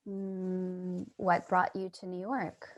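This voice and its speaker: A woman acting a sympathetic, curious, lonely character in a staged play reading, asking gently and tentatively. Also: lowish voice, completely flat delivery, takes it slowly, poor audio. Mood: gentle, curious. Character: sympathetic, curious, lonely listener named Charlie. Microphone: video call.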